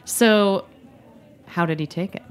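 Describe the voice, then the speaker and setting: A woman's voice: mid-range voice, slow, smooth. A woman hosting an interview podcast, encouraging her guest with short replies and laughter. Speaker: podcast host. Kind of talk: casual interview conversation.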